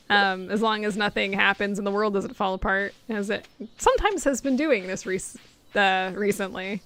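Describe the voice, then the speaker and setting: A young adult woman streaming a game, chatting casually with lots of fillers, her voice getting hoarse after hours of talking. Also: mid-range voice, a bit bright, slight background noise. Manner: chatty, rambling, many fillers and laughs. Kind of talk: casual chat while gaming.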